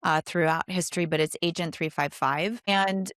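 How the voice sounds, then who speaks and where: Smooth, lowish-voiced woman podcast host. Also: steady tone, normal speed, a bit bright, no echo, studio quality. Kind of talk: conversational interviewing.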